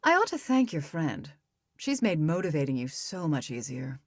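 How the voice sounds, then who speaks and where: A woman voicing an inhuman creature posing as a mother in a visual novel, sugary, condescending and menacing, with theatrical, drawn-out endearments. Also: deep voice, big pitch swings, vocal fry, no room sound, clean studio sound, voice pushed down, heavily acted. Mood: condescending, sweetly menacing. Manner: theatrical, drawn-out endearments, mock-hurt sighs.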